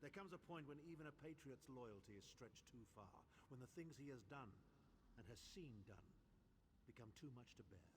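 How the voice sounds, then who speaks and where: An actor playing a haughty, sardonic older chancellor in a video game, speaking formally and with dry sarcasm. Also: male voice, mid-range voice, animated, moderate pace, natural voice. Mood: formal, pleading pride. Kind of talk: video game character voice acting. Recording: clearly noisy game capture with ambience.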